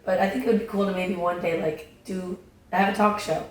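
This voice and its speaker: A young woman, a podcast guest, chatting casually in a slightly raspy voice, full of fillers and restarts. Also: lowish voice, steady tone, brisk. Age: young adult. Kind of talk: casual chat. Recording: echoey room, distant mic, faint noise.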